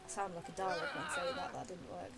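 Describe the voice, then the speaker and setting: A woman's voice: medium pitch, animated, quick pace. A woman giving casual gameplay commentary, chatty and reactive with wry asides. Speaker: gaming video host reacting while playing.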